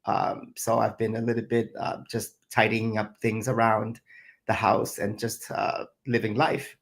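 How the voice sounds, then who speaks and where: A man's voice: fairly low pitch, little pitch movement, unhurried. A middle-aged man from Thailand and Singapore, a chef answering interview questions in a reflective way, with many fillers. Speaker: chef and guest.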